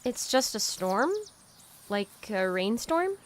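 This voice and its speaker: A woman's voice: mid-range voice, animated, slow, slight background noise. A woman playing a naive, curious young woman fresh from an underground vault, earnest and chatty, in an audio drama. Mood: puzzled.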